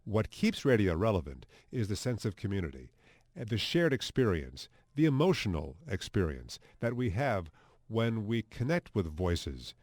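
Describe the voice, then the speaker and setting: An American man speaks as an expert interviewee in a fairly low voice. His delivery is emphatic and very animated, and the sound is dry and close. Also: normal speed, no room sound. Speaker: radio anchorman, expert interviewee. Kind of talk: interview commentary.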